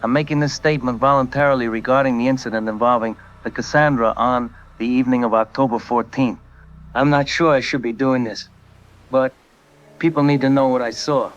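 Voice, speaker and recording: A man's voice: medium pitch, fairly bright tone, highs cut off, lightly acted. A man playing a shaken coast guard captain. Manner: hesitant, shaken, halting. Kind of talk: audio drama testimony.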